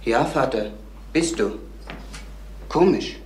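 A young actor playing a worried, polite teenage schoolboy son in a German crime radio drama, hesitant and subdued. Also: male voice, mid-range voice, lively intonation, slow pace, a little mellow. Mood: uneasy. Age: young adult. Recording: roomy, faintly noisy old radio recording.

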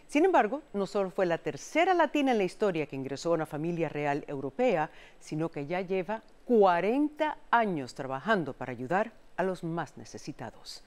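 A woman's voice: on the low side, very animated, moderate pace, hardly any pauses, darkish tone. A middle-aged woman presenting a television news magazine with scripted broadcast narration. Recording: music bed under studio voice. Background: background music.

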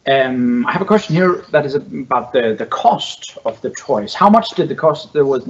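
A man with a mid-pitched voice relays questions as a casual host. He halts and rephrases partway through his sentences. The recording is dull and a bit muffled. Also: expressive, a little hiss or hum. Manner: halting, rephrases questions midway. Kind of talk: casual, unscripted interviewing.

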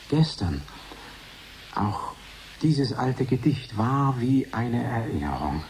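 An actor playing a troubled, withdrawn man in a radio drama, speaking a brooding, halting inner monologue. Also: medium pitch, mellow, poor audio. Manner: halting, brooding, fragmentary phrases. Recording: faint hiss.

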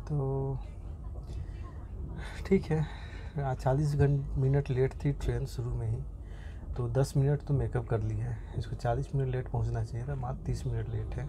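Young man, travel vlogger, casual rambling commentary, mid-pitched voice, phone recording in a train, noticeable background noise. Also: fairly even intonation, a little slow, long pauses, warm tone. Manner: chatty, thinking aloud with frequent fillers and restarts. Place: inside a train compartment at a station, by the window. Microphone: phone camera held near the window. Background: station and train ambience.

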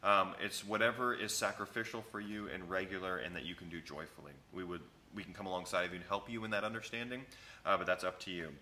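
A man in his thirties, a pastor, explaining and reading a church covenant to new members in a relaxed, conversational way, recorded on a close camera in an empty sanctuary. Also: low voice, fast talker, a little hiss or hum. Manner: conversational, explains each passage after reading it, many fillers. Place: empty church sanctuary with wooden pews, indoors. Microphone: camera at close range.

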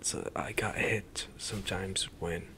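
A young man whispering ASMR commentary while playing a game, soft and hushed with sudden loud outbursts, into a desk mic in a small room. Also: low voice, steady tone, measured pace. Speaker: gaming streamer. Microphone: headset and desk mic, webcam in corner.

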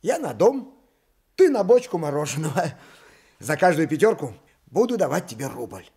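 An eager father in a Soviet radio play. His voice is high and husky, swinging widely as he cajoles. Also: moderate pace, exaggerated voice. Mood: eager, instructive. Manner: animated, cajoling. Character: eager, coaxing father of a schoolboy. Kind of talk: Soviet radio drama acting.

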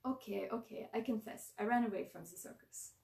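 A woman's voice: mid-range voice, a little fast, gravelly, a little mellow, lightly acted. A wary, touchy storybook squirrel monkey boy from the circus, played by a woman. He talks in a thick Russian accent with blunt, clipped, broken sentences. The voice is distant in a very echoey room. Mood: flustered. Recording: roomy echo, far from mic.

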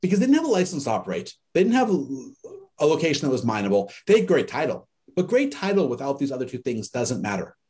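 A man lecturing to an online class, racing through his points with big swings in pitch. His voice is warm and dark, and he slips into the voices of the people in his stories. The sound is that of a webcam on a video call. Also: fast. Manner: animated storyteller, acts out quoted people. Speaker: course instructor. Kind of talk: lively conversational lecture.

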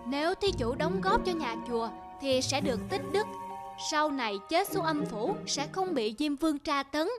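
A cartoon folktale swindler in monk's robes , acted by a woman, with a very high voice for a man. He is sly and formally pious, always persuading. Music plays prominently behind the speech. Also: expressive, normal speed. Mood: pious, persuasive. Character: sly fake monk, gaunt man, smoothly pious swindler.

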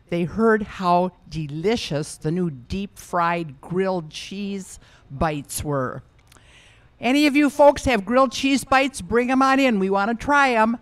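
An older woman at a fair news booth, giving a playful mock traffic and weather report into a handheld mic, chatty and ad-libbing. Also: medium pitch. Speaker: visitor playing a traffic reporter.

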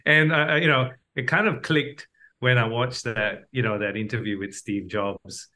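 A man's voice: mid-range voice, fairly even intonation, a little slow, pushed and bright. A middle-aged man from Malaysia giving reflective, conversational answers as an interview guest. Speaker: podcast guest, doctor turned entrepreneur.

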